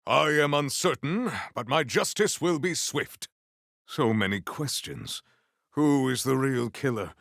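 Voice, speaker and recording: An actor playing a noble, stern warrior in a video game, speaking with formal, grandiose deadpan deliberation. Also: male voice, mid-range voice, unhurried, vocal fry, no room sound, studio quality. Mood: measured, formal. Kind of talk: theatrical video game character acting.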